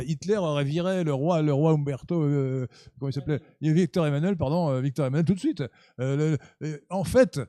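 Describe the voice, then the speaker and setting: A man's voice: on the high side, warm tone, no echo, clean studio sound. A man hosting a political club talk, speaking unscripted in a lecture style, full of fillers and restarts, and growing emphatic. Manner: hesitant, many fillers and restarts, rising to emphatic.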